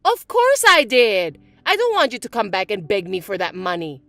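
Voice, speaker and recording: A woman voicing a bratty, spiteful young stepsister who talks in a shrill, mocking, bossy way. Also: sing-song, normal speed, creaky, pushed and bright, no echo, heavily acted. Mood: gloating. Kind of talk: voiced text-message drama.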